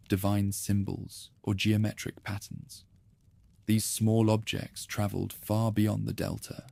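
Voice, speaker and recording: A man narrating history in a calm, slow, soothing sleep-story style. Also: fairly low pitch, vocal fry, husky, no room sound. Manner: slow, soothing, measured delivery.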